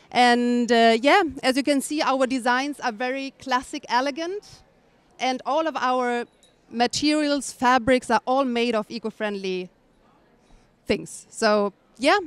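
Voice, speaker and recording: A woman in her thirties, originally from Sweden, introducing her fashion brand at a press junket into a handheld mic, soft-spoken with hesitant fillers. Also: on the high side, clean tone, dry recording. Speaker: fashion designer. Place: hotel press room.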